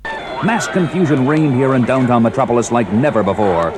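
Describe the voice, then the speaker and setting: Man as portentous, animated superhero adventure narrator. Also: mid-range voice, moderate pace, background noise, close to an everyday voice. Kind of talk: old audio drama narration. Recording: dramatic music under the voice.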